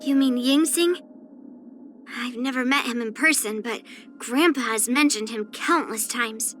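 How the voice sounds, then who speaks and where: A woman's voice: on the high side, measured pace, fairly bright tone, faint music behind. A woman voicing a spirited, proud young swordswoman in an anime-style video game, speaking in an earnest, blunt, slightly pouty way. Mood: matter-of-fact, confident.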